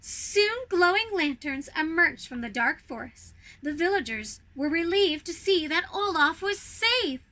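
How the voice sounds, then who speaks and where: High, very bright woman telling a children's story. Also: unhurried, few pauses. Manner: expressive, addresses young listeners directly with questions. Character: snow queen telling her own Christmas story. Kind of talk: animated storybook reading for children.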